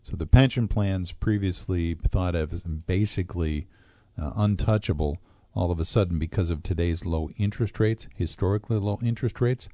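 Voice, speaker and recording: A man co-hosting a retirement radio show, explaining pension plans and interest rates in a measured way with frequent fillers. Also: low-pitched, warm tone, dry recording. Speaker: financial advisor co-host. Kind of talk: radio talk show discussion.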